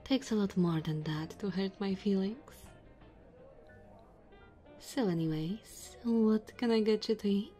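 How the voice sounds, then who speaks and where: A woman's voice: medium pitch, a little slow, long pauses, dark tone, faint music behind, natural voice. A woman playing a sassy, flirty diner waitress in an ASMR roleplay, teasing and chatty.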